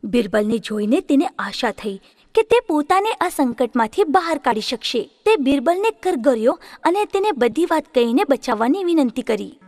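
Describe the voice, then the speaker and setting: Lively high-voiced woman storyteller. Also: moderate pace, no echo, clean studio sound. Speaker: narrator. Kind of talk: children's storybook narration.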